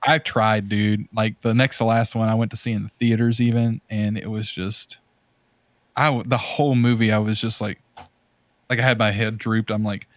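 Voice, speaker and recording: A man's voice: fairly low pitch, little pitch movement, normal speed, dry recording. A man co-hosting a podcast, chatting informally and expressively. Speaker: podcast co-host. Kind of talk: casual podcast chat.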